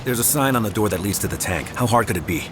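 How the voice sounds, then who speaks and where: An actor playing a terse, dry-witted American heist leader in an action video game. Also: male voice, on the low side, animated, fast talker, background noise, close to an everyday voice. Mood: impatient. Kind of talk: video game voice acting, naturalistic crime drama dialogue. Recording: loud game soundtrack behind voice.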